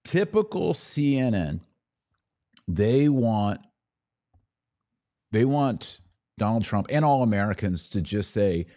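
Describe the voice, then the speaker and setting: A man's voice: fairly low pitch, big pitch swings, takes it slowly, pauses often, dark tone. A man hosting a podcast, giving casual, opinionated political commentary in a conversational way with some mocking mimicry.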